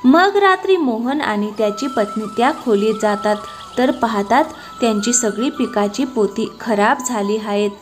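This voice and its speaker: A woman's voice: a little high, expressive, moderate pace, faint music behind. A woman narrating a scripted moral story as its storyteller.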